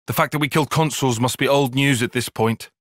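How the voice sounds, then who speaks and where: An actor playing a guarded, analytical young soldier-tactician in a video game, with formal, bookish phrasing and a dry, prickly edge. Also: male voice, mid-range voice, fairly quick, no echo, close to an everyday voice. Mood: analytical, measured. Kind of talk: video game cutscene acting.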